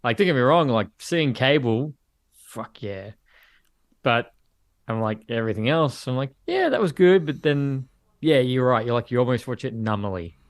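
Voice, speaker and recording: A man's voice: mid-range voice, lively intonation, normal speed, no room sound. Australian man, casual podcast guest, dry sound. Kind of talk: casual chat.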